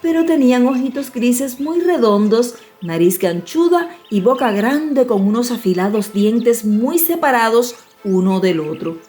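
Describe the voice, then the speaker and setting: A woman narrates a children's fairy tale in a slightly high voice. Her storytelling is expressive and measured, with light music playing behind her.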